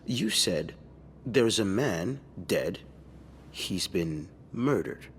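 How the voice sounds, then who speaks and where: An actor playing a gruff, condescending police detective pressing a witness in a 1950s mystery game. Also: male voice, sits low, animated, extremely slow, big-room sound, a little hiss or hum, heavily acted. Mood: probing.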